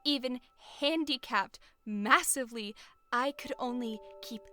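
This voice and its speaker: A woman's voice: fairly high pitch, unhurried, sharp tone. A woman playing a blunt, self-doubting female warrior in a scripted audio roleplay, informal and questioning. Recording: music behind the voice. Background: background music.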